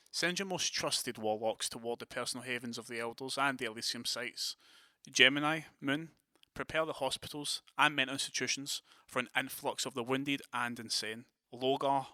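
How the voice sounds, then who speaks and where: A man's voice: mid-range voice, sharp tone, dry recording, studio quality, lightly acted. A man playing a commanding, decisive vampire prince in an audio drama, with a formal, authoritative manner.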